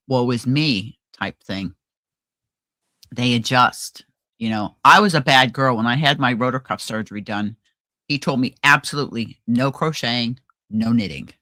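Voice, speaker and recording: A woman co-hosting a casual crafting livestream, chatty and animated, often trailing off and restarting. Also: rumbling low, moderate pace, no room sound. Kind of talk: casual chat. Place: home livestream.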